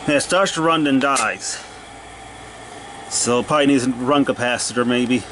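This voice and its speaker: Man muttering over steady background noise. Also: mid-range voice, moderate pace. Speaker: hobbyist repairer narrating own video. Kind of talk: casual off-the-cuff repair commentary. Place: cluttered indoor workshop. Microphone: handheld camera.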